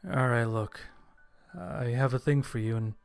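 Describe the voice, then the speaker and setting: A man's voice: medium pitch, steady tone, a little slow, creaky, clear, resonant tone, no room sound. A man playing a nervous, awkward young geek in an amateur audio drama, hesitant and sheepish. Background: background music.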